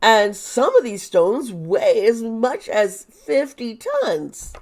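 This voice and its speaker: A hoarse woman who is losing her voice, talking through a tarot topic in a rambling, chatty way with sarcastic asides. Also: on the high side, lively intonation, slow, darkish tone. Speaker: tarot reader. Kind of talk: casual monologue.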